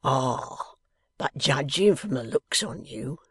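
A man's voice: medium pitch, animated, takes it slowly, no echo. A man voicing a coarse, sly lock-keeper from a novel, growling with an insolent manner. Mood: sly, deliberate. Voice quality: growling, gruff. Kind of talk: dramatised novel reading.

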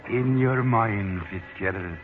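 An actor playing a sly, dignified Irish leprechaun posing as a manservant, wordy and mock-formal, in 1940s radio style. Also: male voice, on the low side, takes it slowly. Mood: dignified, wry. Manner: wordy, mock-formal, flustered when cornered. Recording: tinny old 1948 radio broadcast, music.

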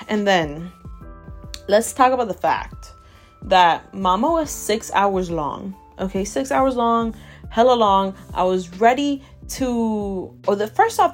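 A woman in her twenties, from Ecuador with Spanish as her first language, hosting a casual podcast and ranting emphatically, often tagging on "okay?". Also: medium pitch. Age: 20s. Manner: emphatic and animated, keeps asking "okay?". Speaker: podcast host. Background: faint chill background music.

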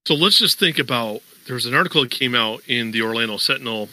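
A man's voice: medium pitch, expressive, fast, bright, forceful tone, no room sound, rough recording. A man hosting a sports podcast, talking casually and at length with plenty of fillers. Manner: conversational, many fillers, explains at length. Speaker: podcast host.

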